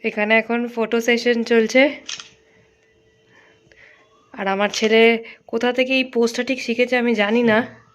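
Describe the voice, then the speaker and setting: A woman's voice: on the high side, little pitch movement, measured pace, long pauses. A young woman, chatting informally to viewers as she narrates a casual family vlog. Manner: chatty, informal, mixes in English greetings. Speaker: vlogger. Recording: dull lo-fi home video, faint noise.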